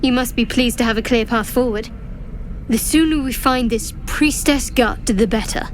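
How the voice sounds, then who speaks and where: A woman's voice: on the high side, normal speed, soft background music, natural voice. An actress playing a guarded, wry young woman adventurer in a fantasy game, dry, casual and understated. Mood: wry, softening.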